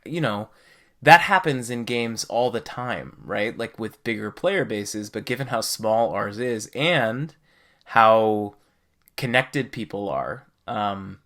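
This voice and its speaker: A man's voice: lowish voice, lively intonation, takes it slowly, a bit bright. A man hosting a podcast in a conversational, reflective way, often checking in with the listener. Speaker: podcast host. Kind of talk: casual podcast discussion.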